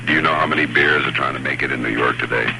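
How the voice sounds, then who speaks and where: A man's voice: very deep voice, fairly even intonation, fast talker, creaky. An American man reading a 1960s radio advertisement as its announcer, on a noisy old recording. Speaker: commercial announcer.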